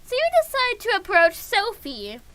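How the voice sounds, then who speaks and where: A woman livestreaming a game in a casual, excitable way, reading the text aloud and reacting with giggly gushing. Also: extremely high pitch, normal speed, a little hiss or hum.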